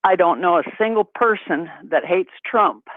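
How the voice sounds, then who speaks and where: A woman's voice: lowish voice, normal speed, no echo. A chatty, folksy woman from Montana calls in to a talk show. Her voice comes through a thin, tinny phone line and sounds dry and close. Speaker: caller. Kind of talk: phone call.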